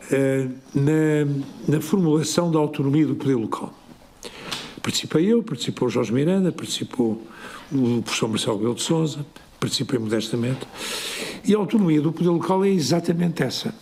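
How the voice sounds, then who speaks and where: An older man, the mayor, giving a measured, deliberate formal reply in a municipal assembly. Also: mid-range voice, a little mellow.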